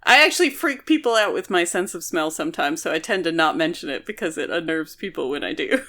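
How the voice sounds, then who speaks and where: A woman with a crisp, bright voice co-hosts a casual book discussion. She is chatty and likes to explain, leaning on key words for emphasis. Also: sits low, lively intonation, a little fast. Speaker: podcast co-host.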